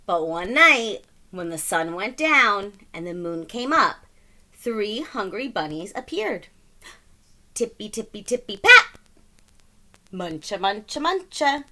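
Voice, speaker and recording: Animated, bright-voiced woman reading a storybook. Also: mid-range voice, slow pace. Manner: dramatic, emphatic, playful with sound words. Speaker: storybook reader. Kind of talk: animated children's read-aloud narration.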